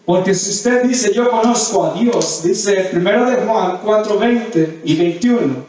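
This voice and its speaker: Guy preaching a sermon, kinda high voice, repeats stuff to teach, super echoey room. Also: unhurried, darkish tone, a little hiss or hum. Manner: didactic, repeats phrases for emphasis. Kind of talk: sermon with scripture readings and questions to the congregation. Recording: very echoey hall, distant mic.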